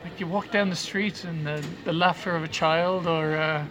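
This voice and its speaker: A man's voice: a little high, steady tone, moderate pace, crowd noise, rough recording. A man being interviewed as a band musician, chatting casually in a joking, rambling way. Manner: jokey, rambling.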